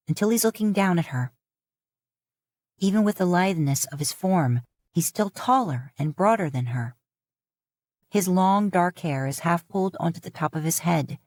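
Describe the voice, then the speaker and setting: A woman narrating a fan fiction audiobook in a calm, even storytelling manner. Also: fairly low pitch, dry recording. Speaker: podfic narrator.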